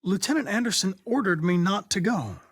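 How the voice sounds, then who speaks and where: High-voiced, formal android detective. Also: male voice, expressive, a little fast, fairly bright tone, slightly rough, no echo, studio quality, full character voice. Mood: earnest, formal. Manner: formal, precise, controlled diction. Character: polite, determined male android police investigator, young adult. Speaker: video game character. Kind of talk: video game voice acting.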